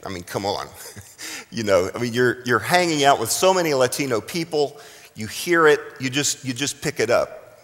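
A middle-aged American man from South Texas preaching a sermon deliberately, with dramatic emphasis and pauses. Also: mid-range voice, lively intonation, fairly bright tone. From South Texas, United States. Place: large reverberant room, speaking to a congregation. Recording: echoing hall with long reverb.

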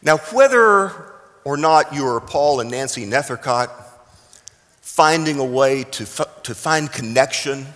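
A middle-aged American man from South Texas preaching a sermon deliberately, with dramatic emphasis and pauses. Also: mid-range voice, expressive, a bit bright. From South Texas, United States. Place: large reverberant room, speaking to a congregation. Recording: echoing hall with long reverb.

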